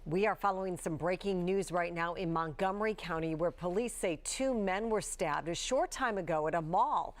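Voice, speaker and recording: A woman's voice: lowish voice, moderate pace, hardly any pauses, a little hiss or hum. A woman with an American accent anchoring the news in a scripted broadcast delivery.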